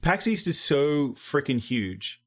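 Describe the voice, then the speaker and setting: A man's voice: on the high side, big pitch swings, slow, fairly bright tone. A man hosting a casual podcast chat, rambling a bit and swearing loosely. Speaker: podcast host.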